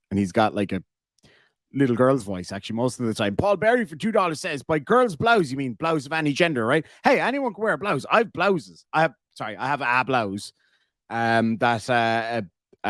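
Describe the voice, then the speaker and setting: Irish man, live stream host, sarcastic jokes, medium pitch, dry close sound. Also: fairly bright tone, dry recording. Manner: sarcastic, joking, reads viewer messages aloud. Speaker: livestream host reacting to videos. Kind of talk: casual live commentary.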